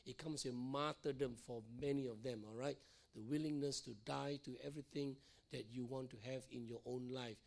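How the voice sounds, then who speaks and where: A middle-aged male pastor preaching in a church, hoarse from losing his voice, repeating phrases and checking in with "all right?" and "okay?". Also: mid-range voice, fairly even intonation, a little fast. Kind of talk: sermon teaching.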